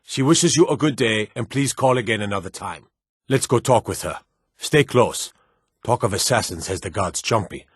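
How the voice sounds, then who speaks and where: A stern fantasy soldier, dryly recorded. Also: male voice, lowish voice, animated, normal speed, slightly rough, no room sound, studio quality. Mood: guarded, formal. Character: stern, dutiful fantasy soldier and palace guard. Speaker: video game voice actor. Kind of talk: scripted fantasy game dialogue.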